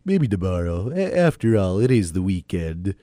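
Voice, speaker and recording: A man's voice: deep voice, big pitch swings, moderate pace, dark tone, dry recording, studio quality, voice pushed down. A man acting as a casual, fatherly dad. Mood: tired. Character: joking, weary father of a teenage boy. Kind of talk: hobby audio drama acting.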